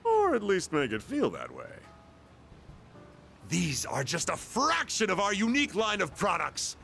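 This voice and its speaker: Smug scheming salesman, slow and drawn out, wildly swinging pitch. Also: huge pitch swings, gravelly, sharp tone, faint music behind, exaggerated voice. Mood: smug lecturing. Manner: smug, lecturing, sly laughs. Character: scheming male salesman of bogus products, smug.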